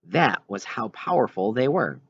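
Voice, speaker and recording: Animated male storybook narrator, slightly dull recording. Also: medium pitch, moderate pace, slightly rough, no room sound. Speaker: audiobook reader. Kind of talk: scripted narration of a children's novel.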